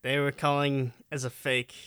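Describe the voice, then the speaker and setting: A man's voice: mid-range voice, flat delivery, moderate pace, a bit bright, smooth, dry recording, clean studio sound. A man co-hosting a comedy podcast with casual banter. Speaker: podcast co-host.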